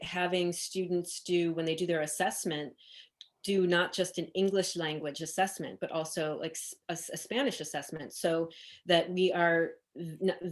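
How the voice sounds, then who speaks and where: A woman with a fairly low voice leads a workshop over a video call. She talks in a casual, hesitant way, with fillers and restarts along the way. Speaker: workshop facilitator.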